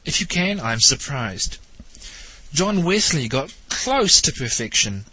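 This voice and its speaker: A man's voice: on the high side, sing-song, slow pace, pushed and bright, breathy edge, no room sound, poor audio. Stuffy-nosed New man hosting. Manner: wry, questioning, lightly teasing. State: nasal, stuffed up from hay fever. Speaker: talk show host. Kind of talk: spoken theology commentary monologue.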